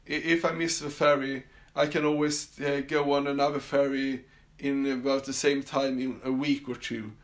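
Swedish-accented male podcast host, halting and filler-heavy, average pitch. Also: level tone. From Sweden. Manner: halting, many fillers and restarts. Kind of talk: casual solo podcast monologue. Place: summer house.

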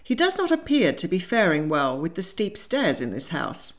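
A woman voicing a hearty, affectionate older gentleman lord in a storybook, jovial and teasing. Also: lowish voice, huge pitch swings, moderate pace. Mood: hearty, kindly.

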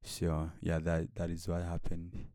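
Soft-spoken young man. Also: deep voice, monotone, unhurried, no room sound, studio quality. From Rwanda. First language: Kinyarwanda. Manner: soft-spoken, hesitant with repeated restarts. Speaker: guest. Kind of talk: casual interview conversation.

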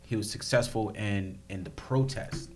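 A man's voice: on the low side, moderate pace, a little mellow, a little hiss or hum. A man in a casual group discussion, bluntly pressing his point with pointed questions.

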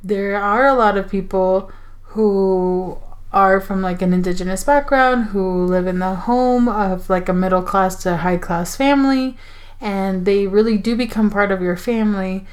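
A woman's voice: mid-range voice, a little slow. A young adult woman from the Mexico border area, chatting casually about a film with frequent likes and restarts. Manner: hesitant, many likes and restarts. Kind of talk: casual film review chat.

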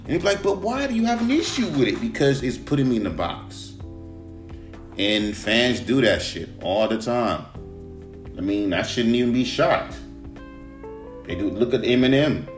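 A man with a smooth baritone voice, hosting a casual solo podcast and ranting about his own music, swearing often. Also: moderate pace, pauses often. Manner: animated, swears often, jumps to a high voice when mimicking fans. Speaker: podcast host and rapper. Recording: roomy room with guitar music behind.

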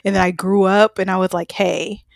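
A woman's voice: mid-range voice, brisk, creaky, dry recording. A young woman from the American South co-hosting a podcast, telling stories candidly and with animation. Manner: candid, animated storytelling with bursts of laughter. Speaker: podcast co-host. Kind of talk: casual chat.

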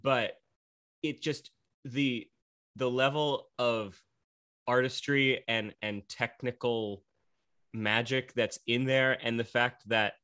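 Young man, Pennsylvania accent, bright voice, eager and chatty. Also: mid-range voice, fairly even intonation, takes it slowly, long pauses, smooth. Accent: Northeastern Pennsylvania. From Pennsylvania, USA. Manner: eager, chatty, frequent fillers. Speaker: podcast guest. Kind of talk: casual interview chat.

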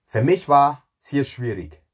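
Animated man in his forties. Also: mid-range voice, slow pace. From Ghana, moved to Germany at thirteen. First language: not German. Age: 40s. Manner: animated, emphatic, repeats words for emphasis. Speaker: speaker telling own career story, cook. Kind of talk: informal personal storytelling to an audience.

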